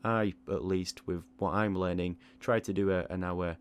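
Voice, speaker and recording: Man, deep-pitched voice, reflective advice monologue, measured explanatory delivery, occasional repeated small words. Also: steady tone, clear, resonant tone. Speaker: online art channel creator.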